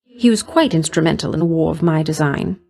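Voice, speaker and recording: A woman's voice: low-pitched, fairly quick, vocal fry, a little mellow. A woman voicing a flirtatious, vain and jealous goddess of love in a video game, speaking in coquettish, condescending endearments with a veiled threat underneath. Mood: flirtatious, gossipy.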